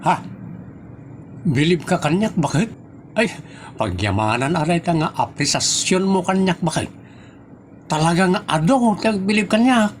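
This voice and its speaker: A man acting an excitable, boastful gambling husband in a comedy audio drama, bantering defensively with exaggerated delivery. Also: high-pitched, measured pace, long pauses, background noise.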